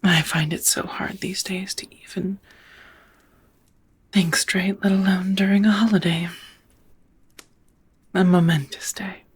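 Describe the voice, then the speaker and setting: A woman playing a centuries-old vampire roommate in an intimate ASMR roleplay, tender and melancholy, whispering softly with hesitant, formal wording. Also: medium pitch, fairly even intonation, unhurried, long pauses. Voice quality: breathy, whispery, soft.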